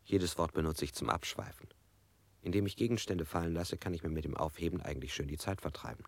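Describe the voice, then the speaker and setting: Low-voiced interrogated man, rambling, detached, evasive, radio drama. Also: fast talker, a little mellow, no echo.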